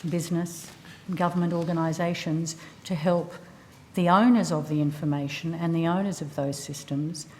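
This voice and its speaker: A woman's voice: low voice, fairly even intonation, normal speed, dark tone, a little hiss or hum. A woman, a government official, gives formal testimony in a hesitant, careful, bureaucratic manner. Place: large indoor hearing room.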